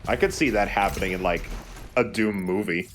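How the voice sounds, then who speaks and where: A man's voice: deep voice, normal speed, slight background noise. A man commentating a video game in casual, joking chat with friends. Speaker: let's play commentator.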